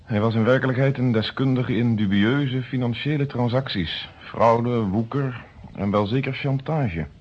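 An actor playing an earnest, thoughtful police inspector in a radio play, speaking formally on a muffled old recording. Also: male voice, medium pitch, a little hiss or hum, lightly acted. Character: earnest police inspector working a murder case.

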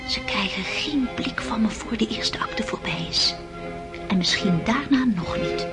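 An actress playing a young, impressionable countess in a radio play. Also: female voice, mid-range voice, expressive, measured pace, over music, close to an everyday voice. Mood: whispering. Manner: breathy whispered inner thoughts.

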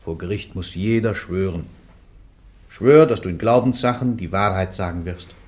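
Male actor as learned church inquisitor, insistent, urging, somewhat low voice, radio play. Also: moderate pace, a little mellow, lightly acted. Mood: stern, pressing. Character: insistent learned church interrogator, doctor of canon law. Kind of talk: German radio play acting.

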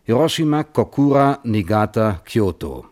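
An actor playing a guarded, reflective physicist on the witness stand in a German radio play, answering tersely and precisely. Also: male voice, mid-range voice, sing-song, normal speed, darkish tone, natural voice. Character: measured, guarded male physicist testifying as witness. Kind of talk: German radio play acting, hearing testimony.

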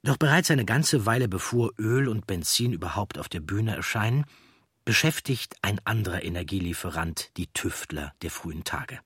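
Male documentary narrator, low and husky, measured storytelling, very dry close studio sound. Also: animated, a bit bright, no room sound.

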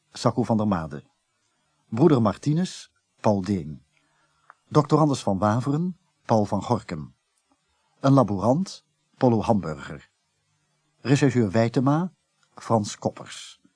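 A male radio announcer reads scripted credits. His voice is mellow and husky, with lively pitch movement, recorded dry and close. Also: no echo.

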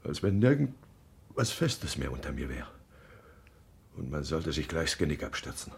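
An actor playing a gruff, uneasy, evasive husband in a radio drama, husky and halting in his speech. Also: very deep voice, very animated, full character voice. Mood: anguished, halting. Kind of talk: naturalistic German radio drama acting.

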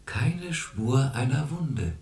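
An actor playing a cool, condescending clinic doctor who questions in a probing, patronizing way in a radio drama. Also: male voice, mid-range voice, sing-song, slow, darkish tone, echoey room.

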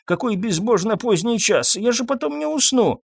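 A man's voice: extremely high pitch, expressive, moderate pace, vocal fry, husky, dry recording, clean studio sound, voice pushed up, exaggerated voice. A man voicing a frail, fussy old pharmacist in an audiobook, with a trembling, querulous, muttering elderly voice. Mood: grumbling, flustered.

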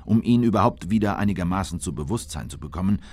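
An actor playing a sober government insider who narrates tense political events in a measured, deliberate way for a radio drama. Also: male voice, deep voice, expressive, no room sound.

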